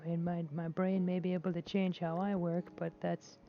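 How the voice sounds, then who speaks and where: A woman's voice: on the low side, flat delivery, moderate pace, faint music behind. A woman vlogging casually while she plays a game, talking in a rambling, hesitant way with many restarts and filler words, candid and self-deprecating. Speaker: gaming vlogger. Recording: dull lo-fi mic with faint noise.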